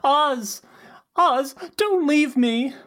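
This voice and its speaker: A woman's voice: high-pitched, drawn-out words, fairly bright tone, full character voice. A woman playing an eager, defiant young witch in a podcast script read, with an emphatic, dramatic delivery. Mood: panicked, pleading.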